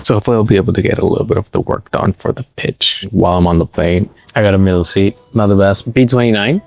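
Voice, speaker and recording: A man in his thirties from Puerto Rico, narrating a casual travel vlog to a handheld phone camera in a relaxed, rambling way. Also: low voice, quick pace, creaky, faint music behind. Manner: relaxed, rambling, thinks aloud. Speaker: vlogger and entrepreneur.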